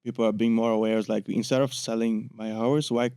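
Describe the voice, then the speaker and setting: A man's voice: on the low side, little pitch movement, brisk, a little mellow, clean tone, dry recording, clean studio sound. A man co-hosting a podcast, talking casually and conversationally. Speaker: podcast co-host.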